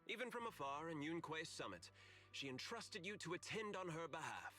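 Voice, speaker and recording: Polished, bright-voiced corporate manager, lively intonation. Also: male voice, fairly high pitch, moderate pace, soft background music. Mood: gracious, welcoming. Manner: polished, formal, diplomatic. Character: smooth, courteous male corporate manager hosting a banquet. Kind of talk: scripted video game voice acting.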